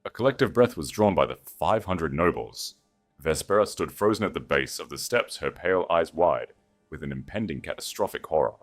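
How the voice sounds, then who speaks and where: A man reading a dramatic story as an audiobook narrator, solemn and with deliberate emphasis. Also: sits low, lively intonation, faint music behind.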